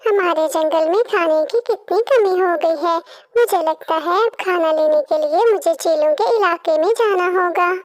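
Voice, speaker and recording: A woman's voice: very high-pitched, moderate pace, no room sound. A woman voicing small birds, a devoted mother and her little chick, in a children's cartoon. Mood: worried. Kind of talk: Hindi children's cartoon voice acting.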